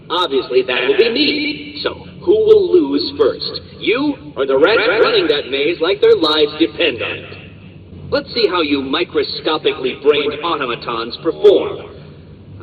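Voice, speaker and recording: A man's voice: a little high, slight background noise. Smug condescending game villain man, pompous and swooping all over, big echoing muffled sound. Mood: gleeful, smug, then contemptuous. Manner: pompous, condescending, elaborately worded insults. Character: arrogant, sneering, egotistical male villain obsessed with puzzles. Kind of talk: video game villain taunting. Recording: muffled PA-like sound, long reverb, background voices.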